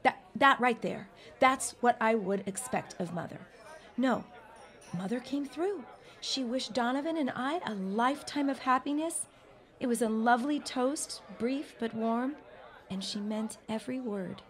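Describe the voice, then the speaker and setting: A woman's voice: fairly high pitch, a little slow, close to an everyday voice. A woman playing an anxious, talkative 1910s bride-to-be in a period audio drama, wry and exasperated. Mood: exasperated gratitude. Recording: party crowd chatter behind.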